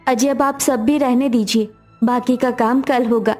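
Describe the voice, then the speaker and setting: A devoted, hopeful young daughter-in-law from a poor cartoon household, played by a woman, speaks in a highish voice. There is music behind her lines. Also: fairly even intonation, a little slow, darkish tone, natural voice. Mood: caring. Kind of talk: cartoon dialogue acting.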